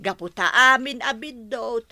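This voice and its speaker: A woman's voice: on the high side, moderate pace, sharp tone, no room sound. A woman playing a protective, forgiving middle-aged mother in an audio drama, earnest and emphatic, with an Ilocano Filipino accent. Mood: pleading. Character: Leia's protective, forgiving older mother, pleading and firm. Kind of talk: amateur audio drama acting.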